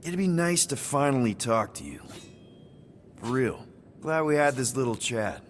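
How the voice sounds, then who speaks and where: A man's voice: medium pitch, animated, a little slow, close to an everyday voice. A man plays an easygoing crew leader from a space video game. His voice sits in a middle range and he talks in friendly, rambling slang, with faint noise behind him. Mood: sincere, friendly. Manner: casual, rambling, boyish slang.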